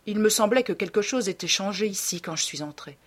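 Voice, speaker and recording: An affable gentleman painter in a storybook read by a woman, speaking as a devoted friend. The manner is courteous and questioning, the voice a little husky and earnest. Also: medium pitch, expressive, fairly quick, pitched above the performer's own voice. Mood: puzzled, affable.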